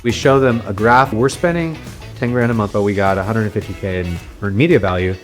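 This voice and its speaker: A man with a fairly low voice hosts a casual podcast conversation. He talks in an upbeat, easygoing way as he puts questions to his guest. Soft music sits quietly in the background. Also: a little fast. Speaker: podcast host. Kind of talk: casual interview chat.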